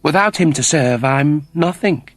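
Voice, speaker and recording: A man's voice: on the high side, lively intonation, measured pace, no echo, soft background music, voice pushed up. A man voicing a submissive but proud shapeshifting alien in a sci-fi story, speaking formally and earnestly. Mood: resigned.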